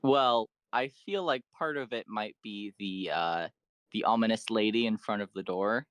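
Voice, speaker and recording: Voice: medium pitch, unhurried, no echo. A podcast guest talking through fan theories in an enthusiastic, explanatory way and in detail. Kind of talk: enthusiastic fan lore discussion.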